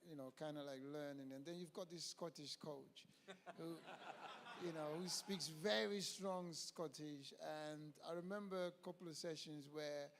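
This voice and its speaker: A man, by birth, tells stories on stage in a jovial way and laughs often. His voice sits somewhat high for a man. Hall reverb and a faint hiss sit behind him. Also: steady tone, a little slow, a bit bright. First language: Dutch. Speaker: former footballer guest. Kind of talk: stage interview. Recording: echoey hall with faint noise.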